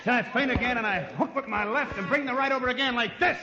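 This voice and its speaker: An actor playing a brash, cocky punchy boxer with rough, slangy, taunting talk in an old radio drama. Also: male voice, very high voice, fast talker, heavy background noise. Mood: mocking. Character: brash cocky male undercover counterspy posing as punchy boxer. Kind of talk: 1940s radio drama acting. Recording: dull lo-fi old radio recording.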